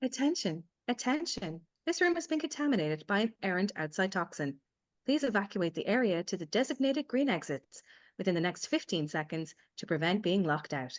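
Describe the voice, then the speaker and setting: A woman's voice: mid-range voice, very animated, moderate pace, no room sound, muffled recording, lightly acted. Woman as automated AI announcer, dry sound. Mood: impersonal warning. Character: calm automated AI evacuation announcer in a thriller. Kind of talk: formal safety announcement, acted live.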